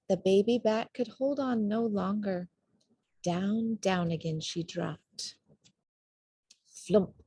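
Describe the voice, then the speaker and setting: A woman narrates a children's picture book at a slow pace. Her intonation is very lively, her tone slightly husky, and the sound is dry and close. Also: mid-range voice, no room sound. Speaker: storybook reader. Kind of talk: expressive children's storybook narration.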